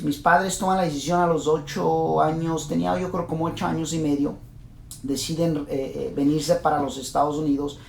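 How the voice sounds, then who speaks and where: A man in his late thirties with a Mexican accent tells his own story in a gravelly voice, full of hesitations and restarts. He is recorded in a roomy space with a faint rumble in the background. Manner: many fillers and restarts, earnest. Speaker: real estate investor and course host. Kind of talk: casual personal storytelling to course subscribers.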